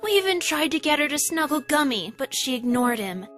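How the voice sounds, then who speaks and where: Woman putting on an excitable, very high-pitched cartoon pony. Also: a little fast, faint music behind. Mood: distressed. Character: excitable young female cartoon ponies, emotional and eager.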